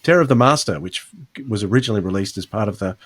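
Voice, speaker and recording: A man's voice: fairly low pitch, lively intonation, very fast, a bit bright. A man hosting a podcast in a casual, conversational style, restarting phrases as he thinks aloud. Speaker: podcast host. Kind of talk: casual chat.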